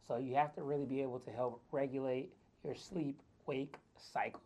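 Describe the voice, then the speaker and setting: Man, raspy voice, worn out from lack of sleep, chatty vlog talk, middle pitch. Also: steady tone, normal speed, darkish tone. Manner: chatty, loose, lots of fillers. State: tired, short on sleep. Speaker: wellness vlogger. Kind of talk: casual talk to camera.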